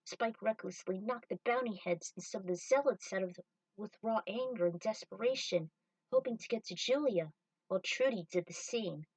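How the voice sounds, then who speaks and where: A woman delivers scripted narration of an adventure story at a middling pitch. She sits a little away from the microphone, with very little room sound around her. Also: moderate pace, darkish tone, dry recording, recorded from a distance, rough recording. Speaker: narrator and reader of a fan fiction story.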